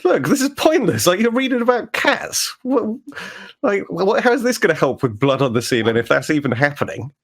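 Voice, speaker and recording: A sceptical investigator with a sarcastic streak , played by a man at a tabletop game. His voice is creaky, and he speaks in informal, halting bursts. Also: high-pitched, very animated, pitched above the performer's own voice, full character voice. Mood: exasperated. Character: sarcastic, sceptical male investigator, teasing and incredulous.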